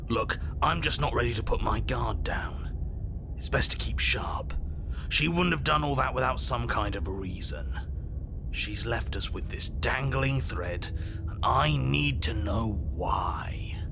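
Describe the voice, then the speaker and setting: An actor playing a cynical, suspicious inner voice in a horror game, measured and sardonic. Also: male voice, mid-range voice, noisy background. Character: wary, cynical, coolly probing male inner voice. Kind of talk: video game voice acting.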